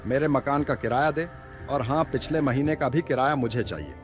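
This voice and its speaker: A man's voice: medium pitch, fairly quick, soft background music, natural voice. A man playing a stern, demanding rich landlord in a moral-story cartoon, speaking in a curt, imperious way. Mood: stern, threatening.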